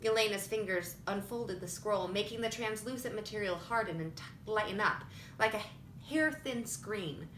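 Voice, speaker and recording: A woman's voice: normal speed, fairly bright tone. A woman reading scripted fiction aloud as an audiobook narrator. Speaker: audiobook reader. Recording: roomy echo with faint rumbling noise.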